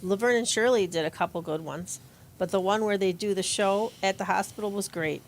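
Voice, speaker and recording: A woman's voice: mid-range voice, brisk. A woman reading in a conversational style as a performer in an audio play. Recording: faint background noise.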